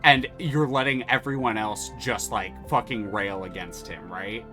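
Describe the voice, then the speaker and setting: A man's voice: fairly low pitch, a little slow, sharp tone, soft background music. A man streaming a story game, reading its text aloud and chatting casually, swearing freely.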